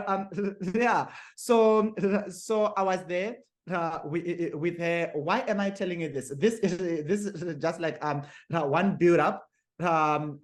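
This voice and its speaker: A young man from Zimbabwe, a guest on a podcast, who talks haltingly with many fillers, repeated words and frequent laughs. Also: a little high. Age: young adult. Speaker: guest author. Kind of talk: podcast interview.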